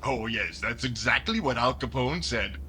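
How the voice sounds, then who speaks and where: A man playing a sarcastic, unimpressed government tax examiner in a deadpan 1940s radio comedy style. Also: mid-range voice, moderate pace, close to an everyday voice. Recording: very noisy radio play recording.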